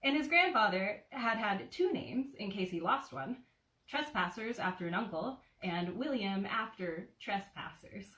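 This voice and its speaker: A woman's voice: mid-range voice, animated, unhurried, a bit bright, recorded from a distance. A woman presenting and reading a children's bedtime story in a casual storytelling style. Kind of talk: casual introduction and storybook narration. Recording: roomy, a little off the mic.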